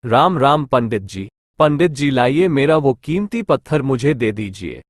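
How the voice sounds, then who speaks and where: A man's voice: mid-range voice, very animated, measured pace, no room sound, clean studio sound. A man voicing a greedy, cunning village merchant in a cartoon story, with a sly, wheedling way of talking. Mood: sly, polite. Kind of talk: Hindi cartoon story dialogue.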